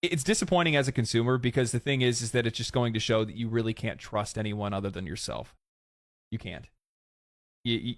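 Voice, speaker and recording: A man commenting on video games, opinionated and ranting, his voice hoarse and fading. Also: lowish voice, no room sound, clean studio sound. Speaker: gaming commentator.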